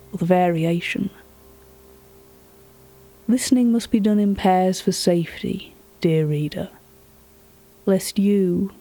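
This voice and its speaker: A woman playing a polite, curious auction curator, narrating scripted audio fiction in a measured, literary way. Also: medium pitch, dark tone, rough recording. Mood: formal, measured. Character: curious, polite female auction catalogue curator telling eerie tales. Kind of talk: scripted first-person narration.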